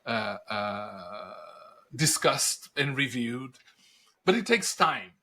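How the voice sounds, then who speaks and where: A middle-aged man with a Brazilian Portuguese accent, a native Portuguese speaker, answering interview questions in lively stories full of rhetorical questions. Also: medium pitch, slow pace. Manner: animated storyteller, rhetorical questions, laughs at own punchlines. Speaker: podcast guest, industry analyst.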